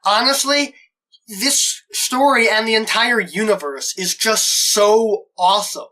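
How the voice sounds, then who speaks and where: A man's voice: high-pitched, measured pace, bright, forceful tone, low-quality recording. A man hosting a review show, giving enthusiastic scripted commentary in a gushing, emphatic way.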